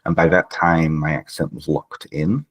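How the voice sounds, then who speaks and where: A man's voice: extremely low pitch, steady tone, moderate pace, fairly bright tone, slightly rough. A man from Wales with a Welsh accent, speaking earnestly in a reflective interview and often checking in with "right?". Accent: Welsh, with northern English and Canadian traces.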